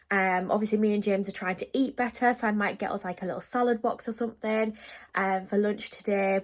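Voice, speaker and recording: A young woman, chatting casually to camera in a home vlog, rambling with lots of fillers. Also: mid-range voice. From North Yorkshire, England. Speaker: vlogger. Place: at home, indoors.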